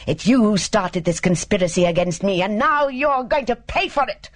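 A narrator voicing a raving, hysterical young man with a high, screaming voice, ranting bitterly in an audiobook story. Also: normal speed, lightly acted. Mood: hysterical rage. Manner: ranting, repetitive, theatrical.

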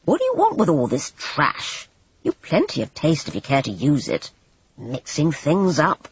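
Casting: reader playing an impatient grown man, curt and scolding, husky voice with lively swings, dry lo-fi sound. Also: fairly high pitch, unhurried, no echo, heavily acted. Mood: scornful. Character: irritable blunt male painter, scolding. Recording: dull lo-fi dry recording.

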